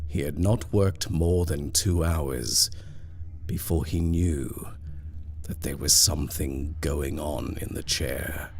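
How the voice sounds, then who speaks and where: A man narrating a scripted horror story in a measured, hushed, deliberate way. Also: low voice, gravelly.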